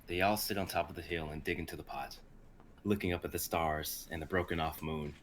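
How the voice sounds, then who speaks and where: A man's voice: deep voice, fairly even intonation, brisk, a little hiss or hum. A man in his twenties who chats casually and reads scripts aloud informally on an online stream. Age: 20s. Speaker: writer and reader on a streamed script workshop.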